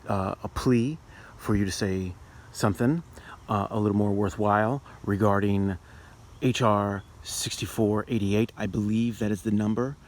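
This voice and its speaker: A man with a fairly low voice makes an earnest phone plea. His delivery is deliberate and halting, with emphatic words. Some noise sits behind him on the amateur recording. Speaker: caller, activist in health and healing. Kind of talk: earnest phone call to a political office.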